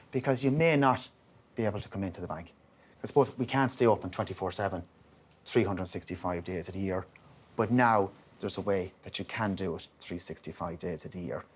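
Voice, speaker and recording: A man's voice: fairly low pitch, slightly rough. A man working at a bank, patiently and reassuringly explaining online banking to older customers. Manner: reassuring, patient, lightly joking. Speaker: bank staff member.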